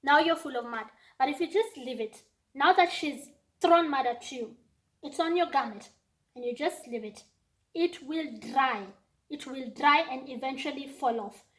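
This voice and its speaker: This is a young Kenyan woman with a high-pitched voice, talking to her viewers in an advice vlog. She speaks English as a second language, with a clear Kenyan accent, and she makes her points emphatically, often saying them twice. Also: a little slow. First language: not English. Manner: emphatic, repeats lines for effect, acts out quoted people. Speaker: YouTuber.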